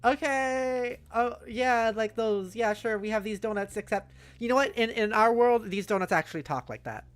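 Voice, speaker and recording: A woman's voice: a little high, normal speed, hardly any pauses. A woman hosting a casual livestream, playful and sweary, reacting aloud with music behind her. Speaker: livestream host. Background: faint music.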